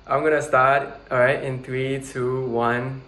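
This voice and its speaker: A man's voice: medium pitch, level tone, a little slow, pushed and bright, echoey room. A man in his twenties hosting a casual podcast chat, giggly and rambling, with lots of fillers. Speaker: podcast host.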